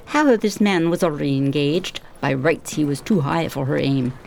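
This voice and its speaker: A woman's voice: low voice, expressive, moderate pace, darkish tone. A woman playing a gossipy, judgmental working-class villager in a radio play, talking colloquially. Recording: clear background noise.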